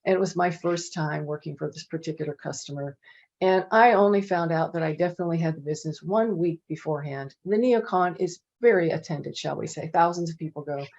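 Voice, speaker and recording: A woman's voice: deep voice, a little fast. A middle-aged woman telling casual stories on a panel from her home office, chatty, with many fillers. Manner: chatty, animated, many "you know" fillers. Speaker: panel presenter. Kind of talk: casual anecdotal storytelling. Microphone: video call. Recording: dull lo-fi video call audio.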